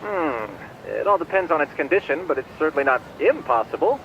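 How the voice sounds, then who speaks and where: A man's voice: on the high side, animated, fairly quick, highs cut off, a little hiss or hum. An actor playing a polite, helpful male computer geek in a video game dub, articulate and precise. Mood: helpful, curious. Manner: courteous, articulate.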